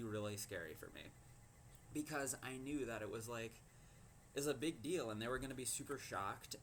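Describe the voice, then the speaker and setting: A man's voice: a bit bright, a little hiss or hum. Hesitant teenage boy telling his story. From Minnesota. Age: teens. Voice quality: low voice. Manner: hesitant, many ums and likes. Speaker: teen vlogger. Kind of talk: personal story to camera.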